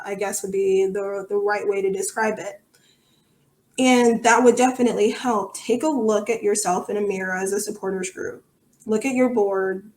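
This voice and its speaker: A woman's voice: mid-range voice, darkish tone. A woman giving conversational, outspoken and emphatic answers as a podcast guest. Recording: echoey room sound.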